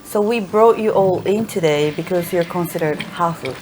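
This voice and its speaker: A woman's voice: lowish voice, moderate pace, darkish tone. Woman interviewer over a noisy background. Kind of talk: scripted narration and interview questions.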